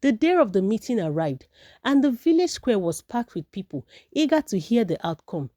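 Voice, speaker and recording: A woman's voice: mid-range voice, very animated, moderate pace, warm tone, no room sound, clean studio sound. A woman narrating a folktale from a script as a storyteller.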